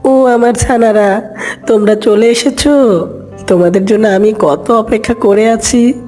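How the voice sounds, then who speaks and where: A motherly cartoon duck, played by a woman, fussing over the brood and quick to judge. The voice sits fairly high, with music playing underneath. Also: steady tone, warm tone, natural voice. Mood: fond, proud. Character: mother duck, fond of pretty chicks, dismissive. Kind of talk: animated fairy-tale dialogue.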